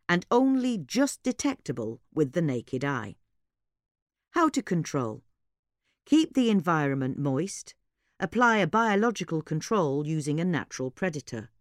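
Female podcast reader, low register with lively intonation, scripted informative delivery, measured and articulate. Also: no echo, studio quality. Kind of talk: scripted informative narration of gardening advice.

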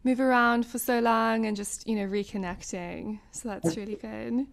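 A woman's voice: a little high, fairly even intonation, moderate pace, vocal fry. A woman hosting an interview podcast, asking questions and giving short encouraging replies. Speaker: podcast host. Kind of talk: conversational interview.

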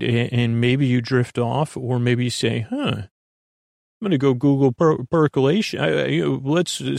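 A man with a creaky voice hosting a sleep podcast, talking in a meandering, hesitant monologue that trails off into tangents. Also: mid-range voice, a little mellow, dry recording, clean studio sound. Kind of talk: rambling monologue to help listeners sleep.

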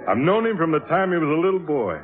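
An actor playing a proud mentor musician addressing an audience in a 1950s radio drama. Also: male voice, fairly high pitch, very animated, fast talker. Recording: muffled 1950s radio with crowd behind.

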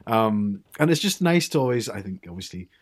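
A man's voice: lowish voice, big pitch swings, a little fast, breathy edge. A man from Ireland co-hosting a podcast, chatting casually and restarting his sentences. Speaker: podcast co-host. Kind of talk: casual chat.

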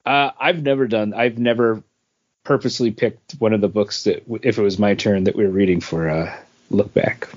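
A man's voice: fairly low pitch, fairly even intonation, moderate pace. A middle-aged man co-hosting a comics podcast in casual chat, hesitant and full of filler words. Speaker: podcast co-host. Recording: dull lo-fi audio.

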